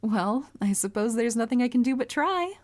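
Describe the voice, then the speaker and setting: A woman playing a polite, earnest, bashful young anime heroine with a crush, speaking formally and gently gushing in a roleplay audio. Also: a little high, expressive, moderate pace, close to an everyday voice. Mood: curious, eager. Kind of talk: anime character roleplay audio, addressed to listener.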